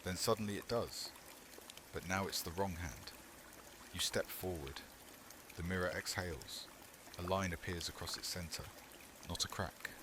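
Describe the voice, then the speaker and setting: Male horror ASMR narrator, low register, unhurried and hushed, short phrases with long pauses, over clearly audible static. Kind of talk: scripted second-person horror narration, ASMR.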